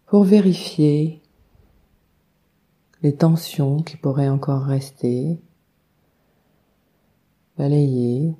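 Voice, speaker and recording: Very mellow, slow-paced woman guiding meditation. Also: low voice. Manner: calm, soothing, unhurried with long pauses. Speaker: yoga nidra meditation guide. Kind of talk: guided meditation, slow instructions.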